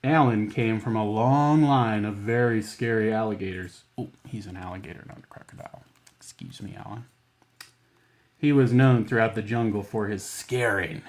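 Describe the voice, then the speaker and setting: A man's voice: fairly low pitch, moderate pace. Playful man reading at children's storytime. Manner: playful, simple child-directed phrasing. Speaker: children's library storytime host. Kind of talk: picture-book reading with casual asides to children.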